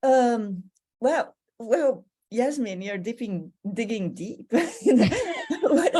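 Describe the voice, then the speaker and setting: A woman's voice: on the high side, lively intonation, extremely slow, warm tone. A middle-aged woman from Brazil with a Brazilian Portuguese accent, speaking reflectively as a guest in a podcast interview. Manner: earnest, reflective, laughs now and then. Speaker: guest, university writing lecturer.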